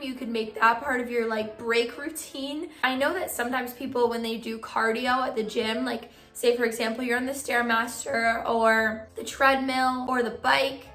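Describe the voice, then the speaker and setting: A young woman vlogging casually to her viewers, giving friendly reading tips, her voice a bit hoarse from losing it. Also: on the high side, flat delivery, moderate pace, hardly any pauses, pushed and bright, reverberant, faint music behind. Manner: friendly advice-giving, filler "like". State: partly lost voice, hoarse. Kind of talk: casual vlog talk to camera.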